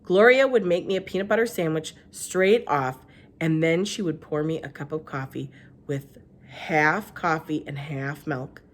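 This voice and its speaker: A woman's voice: low-pitched, a little slow. A woman narrating a children's storybook aloud like a teacher reading to a class.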